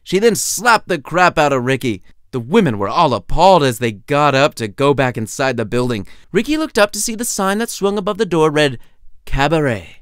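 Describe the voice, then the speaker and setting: Animated, highish-voiced male storyteller. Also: brisk, few pauses, smooth, no echo, clean studio sound. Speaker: author reading his own short story. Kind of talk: scripted comedic story narration.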